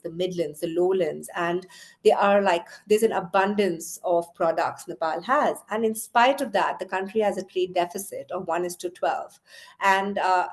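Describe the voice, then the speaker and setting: A middle-aged woman from Chennai, a company founder, telling her story in an interview with earnest pauses and fillers. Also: fairly low pitch. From Chennai, India. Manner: earnest, many fillers like "uh" and "you know".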